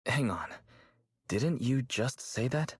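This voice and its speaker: An actor playing a calm, thoughtful young male operator in an anime-style game, measured and earnest, with a soft, slightly husky voice. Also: mid-range voice, dry recording, clean studio sound, heavily acted. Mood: puzzled.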